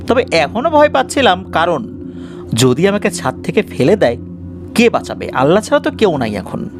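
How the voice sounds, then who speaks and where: A man narrating a scripted first-person ghost story in a dramatic way. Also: sits high.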